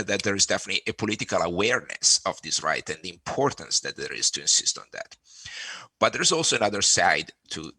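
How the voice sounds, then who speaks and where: A man, a law professor giving a halting conference talk with many repeated words. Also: low voice, gravelly, sharp tone, slightly rough, no echo, poor audio. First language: Italian.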